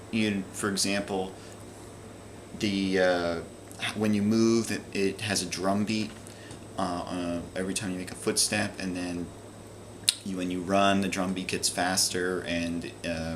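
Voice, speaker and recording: A man's voice: low-pitched, background noise. A man casually showing off and talking through his video game purchases, rambling with many fillers and restarts. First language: English. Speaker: video game collector vlogger. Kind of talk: casual unscripted show-and-tell.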